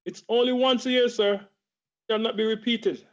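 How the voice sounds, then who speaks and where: Man as nervous clerk, high voice, muffled video-call sound. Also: steady tone. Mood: apologetic. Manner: hurried, apologetic.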